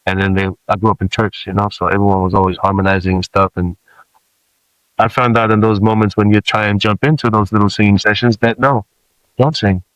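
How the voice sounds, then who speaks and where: A man in his forties who grew up in Samoa, a rapper guest telling stories in relaxed, casual talk with many fillers. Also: lowish voice, a little fast, no echo, rough recording.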